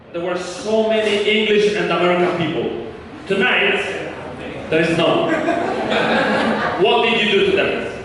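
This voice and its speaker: A man with an Italian accent hosting a live comedy night, loudly teasing and quizzing the crowd. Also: high-pitched. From Italy. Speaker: host. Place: comedy club stage. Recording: roomy hall, distant mic, audience noise.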